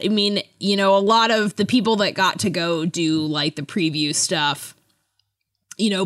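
A woman's voice: medium pitch, fairly bright tone. A woman co-hosting a podcast in casual chat, with an informal, conversational way of talking. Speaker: podcast co-host.

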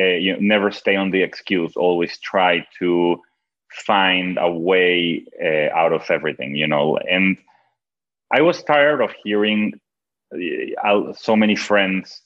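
A film director from Venezuela, a man with a Venezuelan accent and Spanish as his first language, answering interview questions in halting, restarting speech with frequent laughs. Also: low voice. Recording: dull lo-fi sound.

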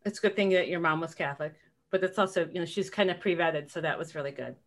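A woman hosts a relaxed podcast chat in an earnest, warm way. She reminisces and draws her guest out with follow-up questions. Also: lowish voice, quick pace. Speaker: podcast host. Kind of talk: casual podcast conversation.

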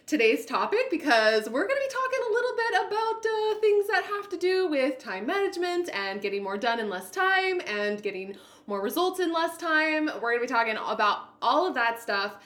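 A woman with a naturally loud voice hosting a podcast solo, talking in an upbeat, hyper and emphatic way. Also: high voice, sing-song, normal speed, reverberant. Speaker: podcast host, business coach.

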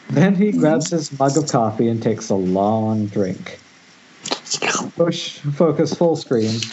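A man narrating screenplay stage directions at an amateur table read, now and then stumbling and rereading words. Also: medium pitch. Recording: muffled internet call, faint noise.

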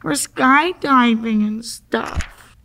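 Woman doing confused exasperated accident victim in an audio drama, high voice, slow pace, sarcastic and dramatic. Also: fairly bright tone, heavily acted. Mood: panicked. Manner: sarcastic, dramatic, casual slangy speech. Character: confused, exasperated accident victim stuck in afterlife waiting room.

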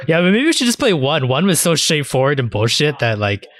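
A man's voice: fairly high pitch, big pitch swings, a little fast, fairly bright tone. A man hosting a podcast in casual chat about anime and games, swearing freely as he talks. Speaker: podcast host.

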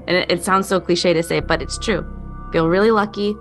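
A woman's voice: on the low side, fairly even intonation, brisk, faint music behind. A woman chatting reflectively and casually in a roundtable, speaking in an earnest, heartfelt way. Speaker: podcast guest, actor.

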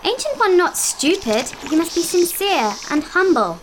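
A woman's voice: extremely high pitch, very animated, measured pace, slight background noise, heavily acted. A woman voicing a solemn forest guardian who gives broken, formal commands. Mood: stern. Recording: studio drama with music bed.

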